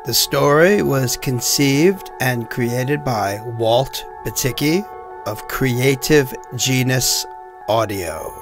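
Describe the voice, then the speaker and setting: A man reading scripted end credits as a narrator, with a measured, deliberate announcer delivery. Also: medium pitch, over music.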